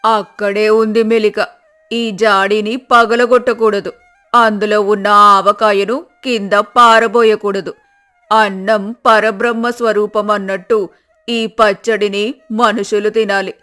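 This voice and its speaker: A woman's voice: medium pitch, flat delivery, slow, a bit bright, faint music behind. A woman voicing a shrewd older village innkeeper in a folk tale, sly and persuasive. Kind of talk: dramatized folk tale acting.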